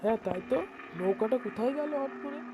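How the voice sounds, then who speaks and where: A woman's voice: a little high, expressive, fast talker, close to an everyday voice. Worried young picnicker voiced by a woman, noisy. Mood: puzzled. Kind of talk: cartoon voice acting. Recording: noisy ambience behind the voice.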